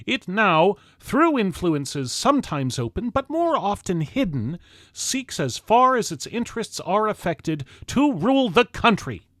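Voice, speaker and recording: A man with a fairly high voice delivers a historical address in a very dry acoustic. He reads it as formal oratory, solemn and declamatory. His phrases are measured and set apart by deliberate pauses. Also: fairly bright tone, dry recording. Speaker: reader of a historical speech. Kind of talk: formal oratory read aloud from a script.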